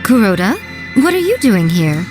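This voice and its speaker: A woman's voice: mid-range voice, big pitch swings, normal speed, over music, lightly acted. A woman voicing a sly, teasing shrine priestess who runs a publishing house, in a video game. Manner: teasing, playful, superior.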